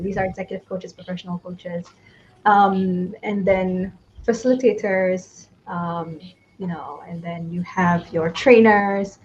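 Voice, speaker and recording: A woman's voice: mid-range voice, darkish tone, lo-fi sound, slight background noise. A young woman with a Malaysian English accent, a change consultant and coach, chatting in a casual livestream interview with frequent laughter. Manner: laughs often, chatty and upbeat.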